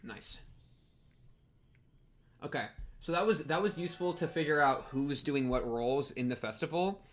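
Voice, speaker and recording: A man hosting a live game playthrough, excitable and given to repeating his phrases, bantering with chat and reading game text aloud. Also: a little high, moderate pace, slight background noise. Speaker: livestream host.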